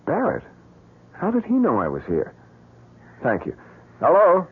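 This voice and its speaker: An old radio drama with a narrow, muffled sound carries a stubborn American everyman. His male voice is husky and very lively in pitch, with a brash, argumentative delivery. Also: unhurried, full character voice. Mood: upbeat, coaxing. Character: stubborn, quick-tongued male photographer, an everyman hero.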